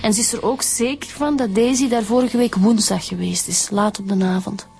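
A woman playing a brisk, wry female homicide detective in a naturalistic radio drama. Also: mid-range voice, steady tone, gravelly, darkish tone, soft background music, natural voice. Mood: matter-of-fact.

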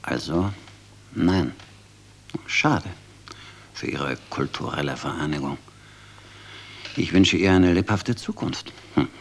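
Spymaster colonel, radio drama: deep, curt, ruthless, mocking authority. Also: male voice, slow, long pauses, a little hiss or hum, full character voice. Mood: scornful. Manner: brusque, commanding, cynically mocking. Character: ruthless, scornful intelligence colonel, fanatical conspirator. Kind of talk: German radio drama acting.

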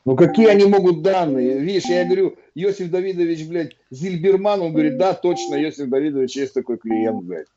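A man's voice: fairly high pitch, fairly quick, few pauses, lo-fi sound, a loud crowd. A man co-hosting a live stream, talking in a loose, rambling way and swearing freely. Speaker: stream co-host. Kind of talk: casual live-stream chat.

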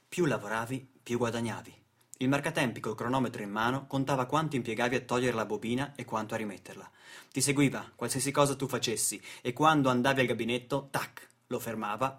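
A man's voice: medium pitch, fast talker, a bit bright. A man, an actor-narrator delivering a theatrical political monologue in a dramatic, sardonic, emphatic way.

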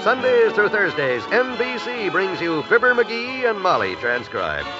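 A male radio announcer with a lively, swinging delivery in 1950s scripted style. Music plays behind him on a muffled vintage broadcast. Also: high voice, measured pace.

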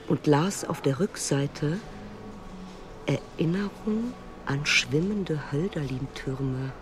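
A man performing a measured, observant first-person literary narration in a radio play. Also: on the high side. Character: man recounting an odd night and morning, observant.

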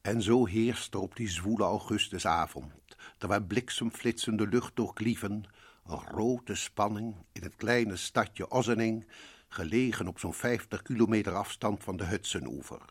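Low, husky, sinister horror host. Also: male voice. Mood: ominous. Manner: ominous, deliberate, sometimes sinking to hushed tones. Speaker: host and narrator. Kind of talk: dramatic scripted radio narration.

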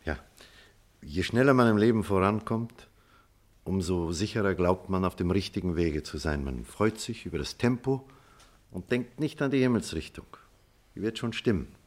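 A man's voice: low-pitched, lively intonation, moderate pace. An actor playing a melancholy, wry writer in a drama, laconic and dry, reflective before his old teacher. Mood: bitter, reflective. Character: melancholy, wry male writer, former pupil of the schoolmaster. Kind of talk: theatrical radio play dialogue.

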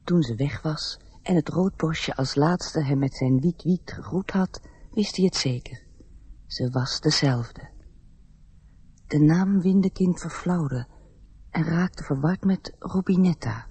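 Fairy-tale storyteller, radio drama: reflective, slightly rough-edged voice, measured delivery, close dry sound. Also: female voice, low voice, dark tone, no echo, full character voice. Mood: tender. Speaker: narrator. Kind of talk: scripted radio play narration.

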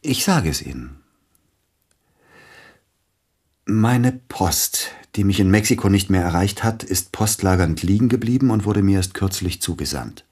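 An actor in a radio play playing a grieving, jealous widowed pianist who speaks formally and broodingly, with hesitations. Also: male voice, low voice, expressive, normal speed, few pauses, darkish tone, husky. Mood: pointed. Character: grieving, jealous widowed male pianist, courteous but probing. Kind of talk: German radio play acting.

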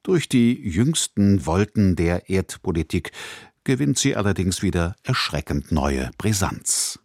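Male broadcast announcer for a scripted intro: articulate, low register, very varied melody, studio-dry. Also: big pitch swings, unhurried, vocal fry, darkish tone, slightly rough, dry recording. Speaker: radio presenter.

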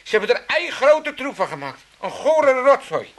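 A man's voice: extremely high pitch, very animated, normal speed. A man playing a cheeky, self-pitying elderly father with an Amsterdam accent in a comedy radio play, rambling and stammering in a folksy, blustering way. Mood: sentimental, rambling. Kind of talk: 1980s Dutch comedy radio play acting.

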